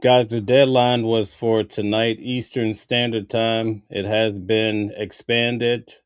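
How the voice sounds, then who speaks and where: A man's voice: lowish voice, level tone, takes it slowly, smooth, dry recording, clean studio sound. A man hosting a live news stream, reading viewer comments aloud and chatting loosely between clips. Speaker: livestream host.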